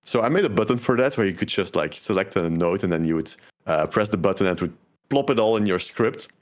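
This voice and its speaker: Game dev guy on a podcast, low voice, chill, lots of likes and ums. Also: quick pace. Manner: relaxed, laughs easily, many fillers like "like". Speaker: podcast guest, game developer. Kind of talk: casual interview chat.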